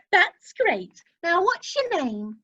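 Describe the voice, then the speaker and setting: A woman's voice: very high-pitched, very animated, very slow, pushed and bright, full character voice. A woman playing a bubbly, gushing cruise ship entertainer in an amateur radio play, recorded over a video call. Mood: brisk, cheery. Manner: gushing, cheery announcer patter. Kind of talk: amateur radio drama acting. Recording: dull lo-fi video call audio.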